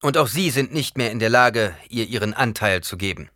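A man reading an audiobook, voicing a cold, defiant young ruler who talks forcefully and rises into declaiming shouts. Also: mid-range voice, animated, moderate pace, dry recording, clean studio sound, voice pushed up. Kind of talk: audiobook character voice.

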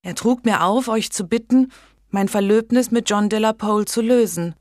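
A woman's voice: medium pitch, moderate pace, a little mellow, no echo, clean studio sound, pitched above the performer's own voice, natural voice. A woman voicing a pious young noblewoman who earnestly pleads with a king, in a storybook reading. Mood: fervent. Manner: earnest, imploring.

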